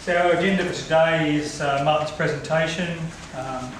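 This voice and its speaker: A man's voice: a little high, steady tone, moderate pace. A man moderating a forum, chatty, with light asides to the audience. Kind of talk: public speaking at a forum. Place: lecture hall. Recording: echoey hall from far off, noisy.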